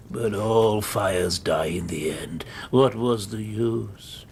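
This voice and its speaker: An actor in a radio drama playing a bitter, crippled old soldier, haunted and anguished, with a rough voice. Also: male voice, fairly low pitch, a little mellow, a little hiss or hum. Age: older. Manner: anguished, slow, emotionally fraught.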